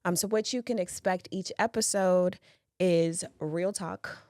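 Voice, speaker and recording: A woman co-hosting a casual podcast chat, chatty and quick to laugh. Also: fairly low pitch, steady tone, darkish tone, dry recording, studio quality. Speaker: podcast co-host.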